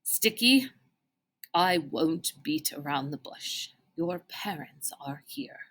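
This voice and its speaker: A woman storyteller plays an older gentleman mentor from a children's storybook, kindly and formal. The phrasing is deliberate and old-fashioned, with a lively, varied melody. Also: fairly high pitch, a little hiss or hum, pitched below the performer's own voice. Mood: gentle, serious.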